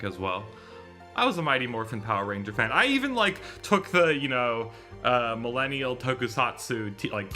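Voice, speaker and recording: A man in his thirties hosting a live stream, chatting casually in a rambling, digressive way and often correcting himself. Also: fairly low pitch. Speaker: live streamer hosting a visual novel readalong. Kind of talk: casual livestream chat.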